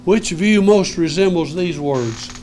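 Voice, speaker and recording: Older male lecturer, high-ish voice, earnest and emphatic, lapel mic in a classroom. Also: animated, unhurried, darkish tone, slight background noise, poor audio. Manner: earnest, emphatic, repeats key words. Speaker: Bible teacher. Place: indoor lecture room.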